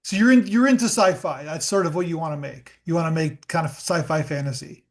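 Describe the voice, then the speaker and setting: A man hosting a podcast interview, relaxed and chatty, encouraging his guest. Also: on the high side, a little fast. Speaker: podcast host. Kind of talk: casual interview.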